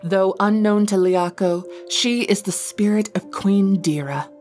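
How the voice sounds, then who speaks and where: A woman's voice: mid-range voice, a little slow, darkish tone. A woman reading a scripted narration that recaps a fantasy story. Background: background music.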